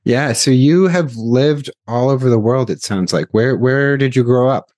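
A man hosting an interview podcast, reading a scripted introduction and then asking questions in a plain, steady way. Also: medium pitch, normal speed, no echo, clean studio sound. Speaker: podcast host and investor.

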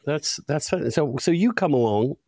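A man's voice: fairly high pitch, expressive, normal speed, mellow, no room sound, clean studio sound. A man hosting a podcast, interviewing in a conversational, probing and emphatic way. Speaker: podcast host.

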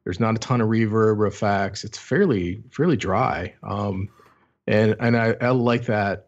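A man with a lowish voice talks casually through his thoughts on a record, circling back and restarting sentences as he goes. The recording sounds a little dull and lo-fi. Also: a little slow. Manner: thoughtful, meandering, many restarts. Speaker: podcast co-host. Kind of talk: casual album discussion.

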